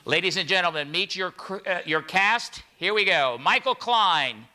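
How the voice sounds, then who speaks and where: Older male MC, notably high voice, informal stage introductions in a noisy live hall. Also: expressive, normal speed, sharp tone. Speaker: master of ceremonies. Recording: live hall recording, crowd and noise. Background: audience crowd noise.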